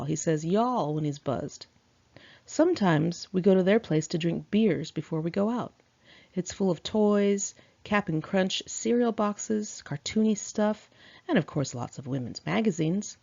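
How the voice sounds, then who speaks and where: Low creaky-voiced woman reading her memoir. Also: dark tone, muffled recording. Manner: candid, chatty, sassy. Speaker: author reading own memoir aloud. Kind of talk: conversational memoir narration.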